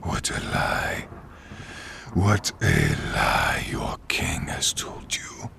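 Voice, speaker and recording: A man voicing a contemptuous, menacing undead warlord with a gravelly, breathy rasp in a scripted fantasy roleplay. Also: deep voice, in a whisper, heavily acted. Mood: bitter scorn. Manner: menacing, slow, theatrical. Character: contemptuous undead male warlord with a bitter grudge against knights.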